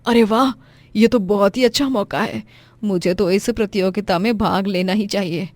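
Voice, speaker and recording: A woman playing a gentle, patient, self-sacrificing poor mother, earnest and sentimental, in a moral story. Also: medium pitch, moderate pace, no room sound, close to an everyday voice. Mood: hopeful. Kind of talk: dramatised Hindi moral story.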